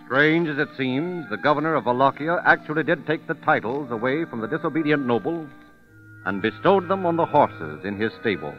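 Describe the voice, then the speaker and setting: A man's voice: on the high side, lively intonation, a little fast. A man narrating scripted stories as an announcer in dramatic, emphatic old-time radio style. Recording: dull old radio recording with music. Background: loud music.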